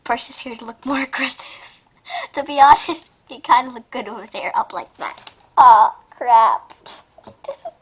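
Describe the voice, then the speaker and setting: A woman's voice: a little high, moderate pace, husky. A woman casually reading fan fiction aloud and reacting to it, with a giggly, exclamatory manner and frequent asides. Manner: giggly, exclamatory, often breaking off mid-sentence to comment.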